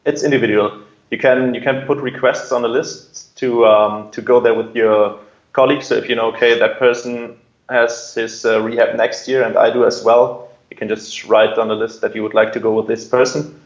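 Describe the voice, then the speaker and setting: A man's voice: medium pitch, flat delivery, moderate pace, roomy sound, highs cut off. A man answering interview questions and explaining his work in a conversational way. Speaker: air traffic controller, interview guest.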